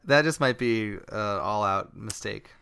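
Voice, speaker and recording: A man chatting informally, slipping deadpan jokes into the conversation. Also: fairly low pitch, a little slow, gravelly, clean tone, dry recording, studio quality. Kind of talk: casual chat.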